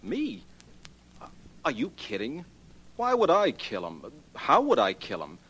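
A man's voice: medium pitch, wildly swooping pitch, slow pace, fairly bright tone, husky, full character voice. A man playing an indignant, blustering impostor under accusation in a 1940s radio drama. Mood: indignant denial. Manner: blustering, protesting. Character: indignant male impostor and murder suspect. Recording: noisy vintage radio recording.

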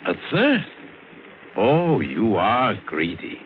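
Condescending gunrunning boss, sardonic courtesy, expressive low register, dull vintage radio sound. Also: male voice, heavily acted. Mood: mocking, amused. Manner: measured, sardonic politeness. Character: smooth, mocking gunrunner, coolly condescending criminal boss. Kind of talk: 1940s-50s American radio drama acting. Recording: dull, faintly hissy old radio broadcast.

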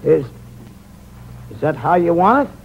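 A man's voice: a little high, expressive, a little slow, creaky. An actor playing a sly, cocky little seaman turned blackmailer in a 1940s radio mystery, smug and insinuating. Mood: alarmed. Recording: muffled, noisy old radio recording.

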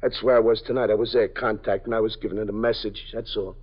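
A man's voice: fairly low pitch, steady tone, fast, husky. An actor playing a nervous male parolee informant who stammers and pleads, in 1950s radio drama style. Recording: dull old radio broadcast.

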